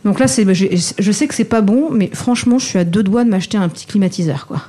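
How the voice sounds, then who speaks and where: A chatty woman hosting a relaxed group conversation, with a sore throat. Her voice is mellow and warm, sitting at a middle pitch. She teases her guests and laughs easily. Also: fast talker. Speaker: host. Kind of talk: casual group chat.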